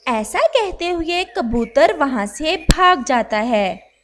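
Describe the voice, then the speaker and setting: A woman's voice: high voice, lively intonation, slow, fairly bright tone. A woman narrating a scripted children's story.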